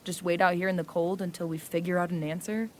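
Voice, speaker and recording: A woman's voice: fairly low pitch, flat delivery, fast talker, a little mellow, a little hiss or hum, close to an everyday voice. An actress playing an impatient, sardonic traveler in an audio drama, blunt and sarcastic in her delivery. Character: impatient, sardonic young female traveler, quick to snap. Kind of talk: naturalistic audio drama acting.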